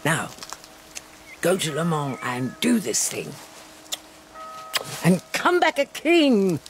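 A woman's voice: fairly low pitch, wildly swooping pitch, takes it slowly, a little hiss or hum, heavily acted. An actress playing a shrewd, blunt, commanding older queen in a historical radio drama. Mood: urgent counsel. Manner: bawdy, imperious, urgent.

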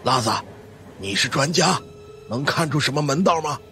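A man's voice: a little high, expressive, moderate pace, bright, forceful tone, soft background music. A man voicing a stern, knowing older monk consultant in a ghost-story audiobook, grave and explanatory. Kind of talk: audiobook character acting.

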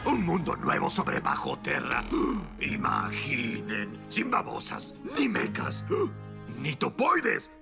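A man with a Latin American accent voicing a small, comic, excitable mole creature in a cartoon dub, squawky and exaggerated. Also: mid-range voice, takes it slowly, gravelly, distant mic, full character voice. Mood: dreamy. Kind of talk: animated series dub acting. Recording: roomy, off-mic, music behind.

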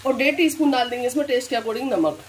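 A woman with a Delhi-area Hindustani accent talking calmly through a recipe step by step, as a cooking channel host. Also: high voice, fast talker, slight background noise. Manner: calm instructive, repeats "here" and "this way".

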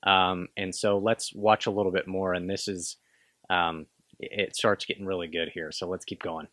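A man's voice: low voice, flat delivery, normal speed, a bit bright, dry recording. A man in his thirties hosting a casual film breakdown, talking in a rambling, hesitant way into a close microphone in a small room. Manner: rambling, hesitant, full of restarts and "kinda". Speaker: film review host. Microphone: studio microphone close in front.

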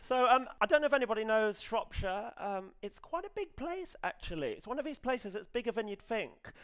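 An English man in his thirties giving a live comedy reading as a writer, in a hesitant, earnest, deadpan manner. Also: sits high, fairly quick, smooth. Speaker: comedian reading as an amateur novelist character. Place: small stage set indoors, before an audience. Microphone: headset.

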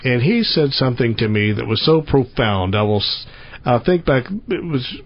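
A man's voice: mid-range voice, very animated, moderate pace, dull audio. A man hosting an outdoor radio show, chatting with a guest in a folksy, plainspoken way. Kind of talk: radio interview.

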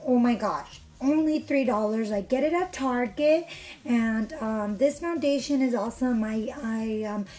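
A young woman, a little hoarse from being under the weather, chatting bubbly through a makeup tutorial in her bedroom, filmed close by her camera. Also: fairly high pitch, measured pace, dark tone, low-quality recording. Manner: chatty, bubbly, lots of fillers. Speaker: beauty vlogger. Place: bedroom with couch, indoors. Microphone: camera in front at arm's length.

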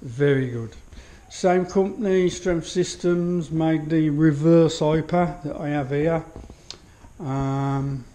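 A man giving a relaxed walkthrough of his home gym, admiring each piece of kit with many pauses and fillers, recorded on a handheld action camera in a small indoor room. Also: fairly high pitch. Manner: unhurried, full of fillers, admiring. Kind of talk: casual walkthrough commentary. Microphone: action camera held while walking.

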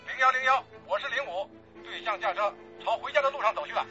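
An actor playing a tense plainclothes police officer reporting from a stakeout over police radio, in a radio drama. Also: male voice, very high voice, fast, pushed and bright, lo-fi sound, faint music behind, full character voice. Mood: alert.

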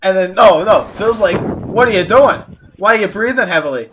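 High-voiced man storytelling over noisy game chat. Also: expressive, a little fast. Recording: noisy gaming voice chat with clicks.

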